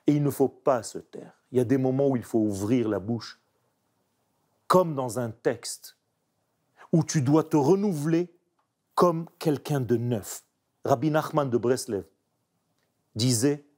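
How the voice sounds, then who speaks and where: A man, a painter speaking French as a second language, reflecting emphatically in an interview. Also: medium pitch. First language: not French. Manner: animated, emphatic, searching pauses. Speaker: painter guest.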